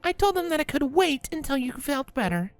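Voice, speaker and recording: A woman voicing a gentle, sober young female pony, a soft-spoken and reassuring friend in a fan fiction audio drama. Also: sits high, big pitch swings, fairly quick, faint music behind. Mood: sober, hushed.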